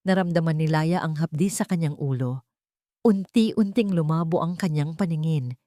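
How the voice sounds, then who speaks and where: Low, dry-voiced Filipino woman narrator. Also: darkish tone, no echo. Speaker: story narrator. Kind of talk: dramatic scripted narration.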